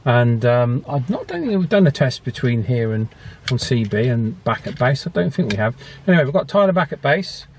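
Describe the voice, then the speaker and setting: A man's voice: mid-range voice, big pitch swings, fairly quick, a little hiss or hum. Chatty man, casual hobby vlogger. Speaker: host of a radio repair and testing channel. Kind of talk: casual vlog commentary.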